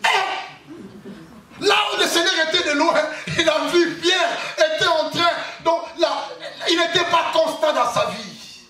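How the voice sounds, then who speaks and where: Brief: male pastor, emphatic sermon with shouted bursts, very high ringing voice, echoey church hall. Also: takes it slowly. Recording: echoey hall, far from mic, faint noise.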